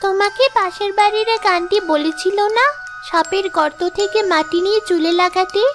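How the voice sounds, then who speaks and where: A mysterious little snake girl in a cartoon folk tale. Her voice is extremely high, knowing and kind, with clear music behind it. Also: moderate pace. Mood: knowing, reassuring. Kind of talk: exaggerated cartoon dialogue.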